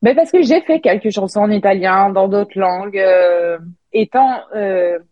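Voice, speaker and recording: A woman's voice: medium pitch, a little slow, dry recording, muffled recording. A woman singer and songwriter, a native French speaker, chatting casually in an interview with frequent restarts. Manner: chatty, many restarts and fillers.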